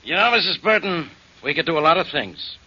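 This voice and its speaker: An actor playing a pushy police inspector who presses a suspect, in 1940s radio drama style. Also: male voice, on the high side, lively intonation, fairly quick, loud music behind the voice, natural voice. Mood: accusing. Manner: insistent, accusing. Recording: dull old radio broadcast.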